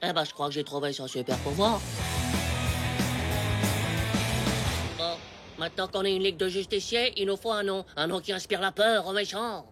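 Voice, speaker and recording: A woman voicing a bossy, excitable ten-year-old boy in a cartoon, with brash slangy delivery. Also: medium pitch, quick pace, over music, close to an everyday voice. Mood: matter-of-fact. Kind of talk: animated cartoon dialogue.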